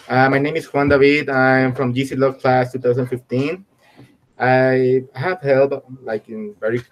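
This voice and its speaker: A man's voice: mid-range voice, low-quality recording. A man who is a researcher, introducing himself on a video call in a friendly, slightly hesitant way.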